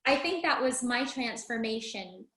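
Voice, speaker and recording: A woman's voice: a little high, animated, measured pace, background noise. A woman talking as a guest in a conversation, thoughtful and agreeing. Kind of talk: casual conversation. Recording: echoey room.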